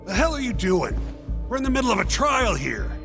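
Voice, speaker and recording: Casting: insistent courtroom defense lawyer, formal and deliberate, very varied pitch, game drama with music behind. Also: male voice, sing-song, heavily acted. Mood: flustered, urgent. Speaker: defense attorney character. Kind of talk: English video game dub acting.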